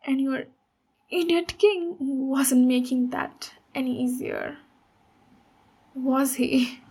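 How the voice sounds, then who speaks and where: A woman playing a big, friendly female ogre warrior in an ASMR roleplay, speaking gently and encouragingly as a mentor. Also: high-pitched, takes it slowly, breathy edge, heavily acted. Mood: concerned. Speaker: ASMR voice actor. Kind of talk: ASMR roleplay, slow intimate monologue.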